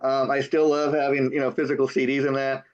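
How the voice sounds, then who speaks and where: A man's voice: medium pitch, flat delivery, brisk. An older man hosting an interview, stammering and repeating words with frequent enthusiastic wows. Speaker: TV interview host. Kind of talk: casual interview talk. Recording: dull lo-fi sound.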